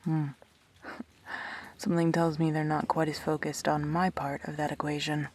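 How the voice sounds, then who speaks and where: A woman's voice: flat delivery, normal speed, a little mellow. A woman acting a wry, blunt but protective immortal best friend in an audio drama, with a dry delivery. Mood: teasing, encouraging. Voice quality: low, husky.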